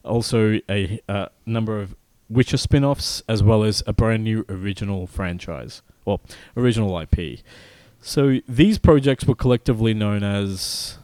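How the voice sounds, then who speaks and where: Podcast co-host, male, lowish voice, stop-start news delivery, dry close mic. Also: warm tone, no echo. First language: not Polish. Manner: halting, many fillers and restarts, apologetic. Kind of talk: casual chat, reading news.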